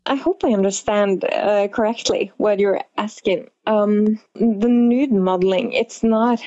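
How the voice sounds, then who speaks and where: A woman's voice: medium pitch, measured pace, dark tone, dry recording, dull audio. A woman with a Norwegian accent giving reflective answers as an interview guest, hesitant and searching for words. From Norway.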